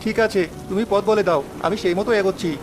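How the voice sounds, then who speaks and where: A woman's voice: mid-range voice, fairly even intonation, fast, close to an everyday voice. A woman voicing a sad, humble young village boy in a children's fairy-tale cartoon, earnest and pleading. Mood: curious. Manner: plaintive, pleading. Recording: music under the voice.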